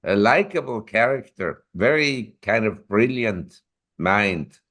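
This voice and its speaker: A man's voice: on the low side, expressive, takes it slowly, a bit bright. A man in his seventies, a newsletter publisher and interview guest, telling long rambling anecdotes with self-corrections. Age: 70s.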